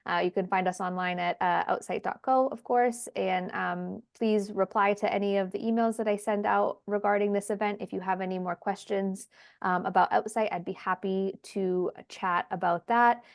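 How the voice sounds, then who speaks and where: A woman's voice: mid-range voice, fairly even intonation, moderate pace, creaky, no room sound, studio quality. A woman hosting an online question-and-answer webinar, talking in a friendly way with many ums, heard over a video call. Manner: friendly, filler-heavy with frequent ums. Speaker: webinar host, head of community.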